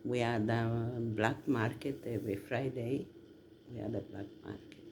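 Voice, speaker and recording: A man from southern Italy, rambling casually to listeners in a halting, emphatic way. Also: medium pitch, steady tone. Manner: halting, drawn-out delivery with emphatic questions. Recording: noticeable background noise.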